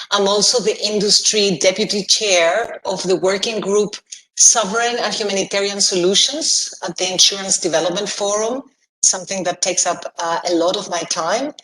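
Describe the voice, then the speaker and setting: A middle-aged woman from Chile speaks over a video call in a measured, steady voice and pauses briefly now and then. Her room sounds echoey, and a faint hiss sits under her words. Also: medium pitch. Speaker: panelist, insurance executive. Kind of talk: self-introduction on an online panel.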